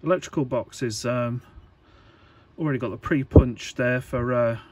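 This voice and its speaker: A man giving an informal hands-on tutorial, talking in a halting, hesitant way as he thinks aloud. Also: medium pitch, darkish tone, breathy edge. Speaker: electronics hobbyist presenter.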